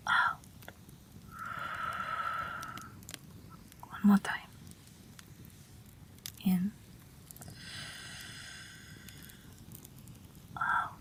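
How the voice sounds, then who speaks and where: A woman playing a gentle, wry, protective night witch in an intimate audio roleplay, speaking softly and breathily, often near a whisper. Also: medium pitch, husky, heavily acted. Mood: soothing. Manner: slow, soft, tender reassurance.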